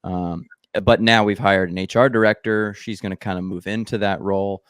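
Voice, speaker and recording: Brief male podcast host, low voice, dry close studio mic, relaxed and joking. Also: normal speed, clean tone, dry recording. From United States. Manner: joking, relaxed, heavy use of like. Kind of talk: casual podcast interview. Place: indoor home studio. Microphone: headphones and large studio mic.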